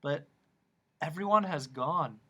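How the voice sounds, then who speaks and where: A polite, puzzled young boy with a soft, raised, bright voice, as a storyteller puts him on. He questions gently and sounds a little lost in a storybook reading. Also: fairly high pitch, expressive, slow pace, husky, pitched above the performer's own voice, exaggerated voice.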